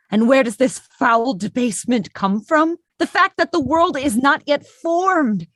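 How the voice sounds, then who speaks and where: A woman playing a grave, provoking interrogator in an absurdist radio play, speaking in a theatrical, deliberate, enunciated way. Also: fairly high pitch, no room sound, clean studio sound.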